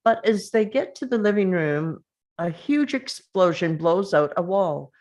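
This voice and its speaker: Slow, measured woman reading action lines. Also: fairly low pitch, lively intonation, a little mellow. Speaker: table read narrator.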